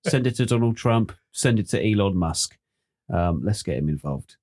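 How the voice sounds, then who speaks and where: A man co-hosting a podcast, his voice sitting a bit low. He keeps up a teasing, jokey banter full of quick asides. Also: lively intonation, a little mellow. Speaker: podcast co-host. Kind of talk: casual chat.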